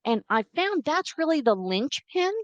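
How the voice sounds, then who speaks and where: Middle-aged woman, czech her first language, higher voice, earnest storytelling, dry close sound. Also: big pitch swings, unhurried, a bit bright, no room sound, muffled recording. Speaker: guest. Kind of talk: interview storytelling.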